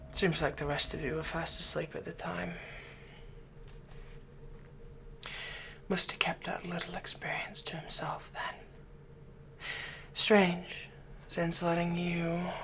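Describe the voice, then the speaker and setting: A man playing a smitten, possessive villain in an intimate ASMR roleplay, speaking softly and breathily as he comforts his captive. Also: on the high side, a little hiss or hum, exaggerated voice. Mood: quietly confiding. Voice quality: breathy, whispery. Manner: slow, soft, possessive, insinuating.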